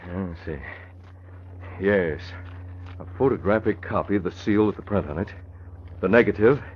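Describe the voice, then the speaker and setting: An actor playing a composed, resolute crime-fighter, brisk and clipped, in 1940s radio style. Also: male voice, low-pitched, slightly rough. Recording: dull, noisy old radio recording.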